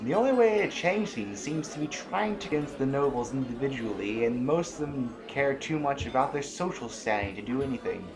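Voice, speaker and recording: A man's voice: medium pitch, expressive, a little fast, hardly any pauses, music in the background, lightly acted, low-quality recording. A man playing a blunt, argumentative general in an audio drama. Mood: disgruntled. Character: blunt, opinionated military general and political dissenter.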